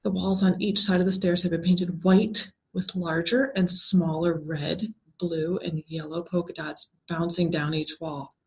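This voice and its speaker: A woman reading a scary story aloud as a dramatic, expressive scripted narration full of suspense. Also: lowish voice, unhurried, few pauses, low-quality recording. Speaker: story reader.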